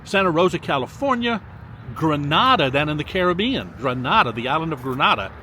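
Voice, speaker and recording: Older male vlogger, lively sing-song delivery, casual shout-outs outdoors with faint background noise. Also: fairly high pitch. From Mississippi. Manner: drawn-out, sing-song reading of place names with folksy asides. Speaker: vlogger reading viewer locations. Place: outdoors by a lakefront.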